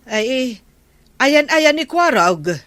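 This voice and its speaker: A woman acts out a bossy, vain wife in a village comedy drama, with a northern Philippine accent. She nags in a forceful, fairly high voice, drawing out her words in a slow delivery. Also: big pitch swings, no room sound, heavily acted. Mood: complaining, haughty. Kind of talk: comedy audio drama acting.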